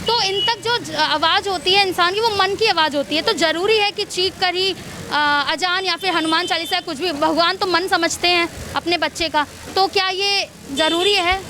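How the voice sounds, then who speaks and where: Female street reporter, very high bright voice, faint traffic behind. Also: normal speed. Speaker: news reporter doing street interviews. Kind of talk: on-street interview questioning. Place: outdoors on a city street. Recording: outdoor street with traffic noise. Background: faint street and vehicle noise.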